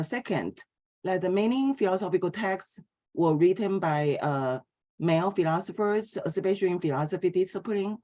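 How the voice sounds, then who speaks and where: A middle-aged woman from Taiwan, giving an academic philosophy lecture in a hesitant way, with many pauses and filler sounds. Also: lowish voice, darkish tone.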